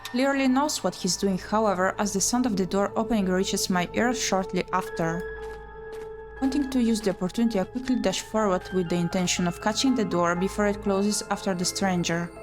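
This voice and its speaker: A woman narrates a first-person story game with a dramatic storytelling delivery. Her voice sits at a middle pitch, with soft music playing behind her. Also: brisk. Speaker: streamer reading a visual novel aloud.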